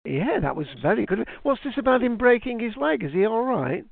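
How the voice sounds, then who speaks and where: British man, high-pitched and gravelly, chatty, phone-quality line. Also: big pitch swings, brisk, no echo. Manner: chatty, laughs often. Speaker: podcast co-host. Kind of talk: casual chat over a call.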